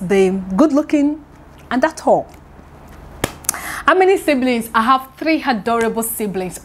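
A young woman from Nigeria, whose first language is Yoruba, answers viewer questions in a relaxed vlog with a Nigerian accent. She is chatty and expressive, laughs often and doubles her words for emphasis. A lapel mic in an indoor room catches her voice over a faint ambient hum. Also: on the high side. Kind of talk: casual Q&A to camera. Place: indoor room with pink wall, seated on sofa.

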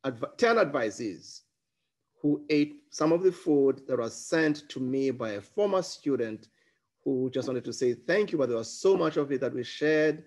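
A man's voice: medium pitch, animated, normal speed, a little mellow. A middle-aged man, a school headmaster, speaking earnestly and emphatically over a video call from a study. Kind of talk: welcoming webinar address. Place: home study with bookshelves, indoors. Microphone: video call webcam.

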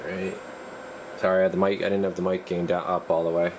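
Deep-voiced man demoing radios in a workshop. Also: a little hiss or hum, poor audio. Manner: relaxed, halting, thinks aloud while working. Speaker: radio repair technician. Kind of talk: casual hands-on demo.

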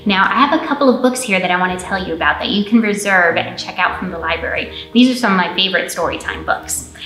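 A woman in her thirties speaking to young children as a storytime host, in an animated, encouraging way with drawn-out emphasis. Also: medium pitch, soft background music. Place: indoor library storytime room. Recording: roomy indoor echo.

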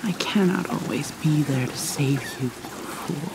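Woman acting a brooding, slow-spoken vampire mermaid. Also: low voice. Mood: reluctant, gruff. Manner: halting, formal, slipping into whispers. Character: brooding, guilt-ridden female vampire mermaid, prickly but caring. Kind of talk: ASMR roleplay acting. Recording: noisy ambience with water sounds.

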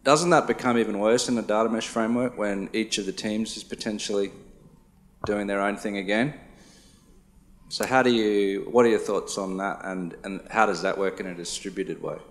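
Audience man, low voice, hesitant question, reverberant hall pickup. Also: fairly even intonation, normal speed. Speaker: audience member asking a question.

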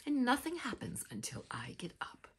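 A woman in her sixties reading a children's picture book aloud theatrically, dramatic and expressive, dropping into breathy whispers. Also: on the high side, moderate pace. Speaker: storyteller, host. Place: small furnished room indoors with bookshelves.

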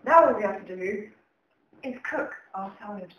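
A woman's voice: mid-range voice, expressive, normal speed, dull audio. A young woman giving a mock cookery demonstration as presenter. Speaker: cooking show presenter. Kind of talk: mock cooking show demonstration. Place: home kitchen. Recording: echoey kitchen, faint background noise.

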